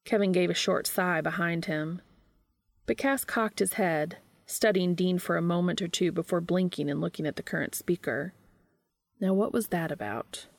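A woman's voice: fairly low pitch, moderate pace, gravelly, dry recording. A woman reading a story aloud as scripted narration. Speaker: audiobook reader of fan fiction.

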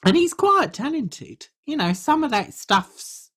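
A woman's voice: high-pitched, very animated, a little slow, fairly bright tone. A British woman co-hosting a podcast in casual chat. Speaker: podcast co-host.

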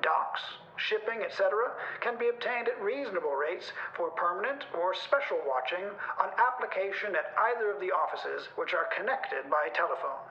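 A high-pitched, formal Victorian agency superintendent , acted out by a man, declaims a business circular to prospective clients. The recording is muffled and roomy, like an old radio reading. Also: lively intonation, moderate pace, few pauses, a little hiss or hum. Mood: formal, businesslike.

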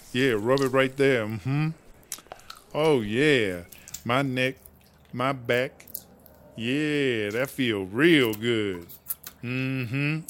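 A man's voice: a little high, a bit bright, slight background noise. A man playing a boastful, slangy female inmate who raps, with swaggering, profane talk, in a comedy audio drama. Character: brash, boastful female prison inmate and rapper. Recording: music behind the voice.

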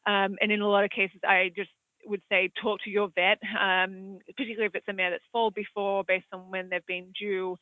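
A man's voice: high voice, little pitch movement, a little fast, no echo. Australian man on a tinny phone-like line. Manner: rambling, hesitant, many fillers. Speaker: equine veterinarian. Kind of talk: expert answering audience questions.

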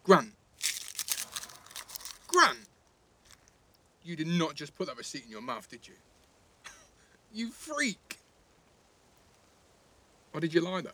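Lively, cheeky English teenage lad. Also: male voice, on the high side, slow pace, long pauses, a little hiss or hum, full character voice. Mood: gleeful teasing. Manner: teasing, slangy, blunt. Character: cheeky teenage boy in care, teasing, blunt, guarded. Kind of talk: naturalistic audio drama dialogue.

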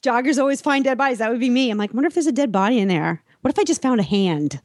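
A woman with a slightly high voice banters casually as a podcast co-host. She is giggly and self-deprecating, and the sound is fairly dry and close. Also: lively intonation, very fast, darkish tone, no room sound.

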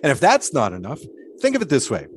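A serene, eccentric daredevil of the 1920s in an audio drama. His pitch moves in wide, animated swings as he teases and muses in ornate, cryptic phrases. Background ambience is clearly audible. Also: quick pace, a little hiss or hum. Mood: teasing, serene.

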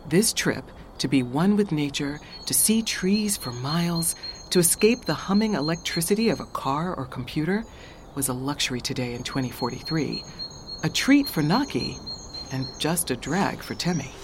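A woman narrating an audio drama as a scripted storyteller, measured and slightly wry. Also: low voice, very animated, noisy background.

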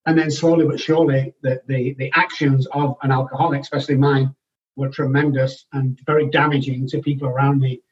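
A man's voice: medium pitch, level tone, a little fast. A middle-aged man from England with a Manchester accent, speaking frankly and matter-of-factly as an interview guest. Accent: Manchester English. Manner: frank, matter-of-fact, with restarts. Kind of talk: candid personal storytelling. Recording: distant, dull-sounding remote recording.

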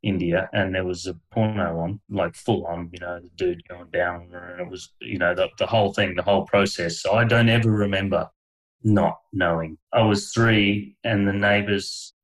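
Deep-voiced man telling his story frankly. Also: steady tone, moderate pace, rough recording. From Australia. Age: middle-aged. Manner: frank, meandering, with frequent fillers and short laughs. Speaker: podcast guest. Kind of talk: candid conversational storytelling.

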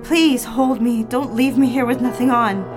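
Woman acting anxious young secretary, higher voice. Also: fairly even intonation, moderate pace, natural voice. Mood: pleading, submissive. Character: anxious, submissive young engaged secretary in love with her boss. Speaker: actress. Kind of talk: romantic audio drama acting. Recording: music behind the voice.

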